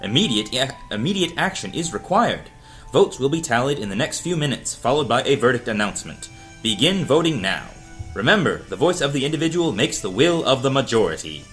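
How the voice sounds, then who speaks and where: A man voicing an impersonal, commanding public announcement device in an audio drama read-through, formal and measured. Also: mid-range voice, sing-song, a bit bright. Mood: official, commanding. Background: music underscore.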